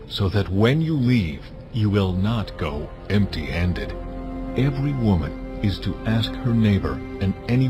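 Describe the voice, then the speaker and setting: Solemn, deep, commanding God, music beneath. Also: male voice. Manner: solemn, deliberate, authoritative. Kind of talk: dramatized scripture reading.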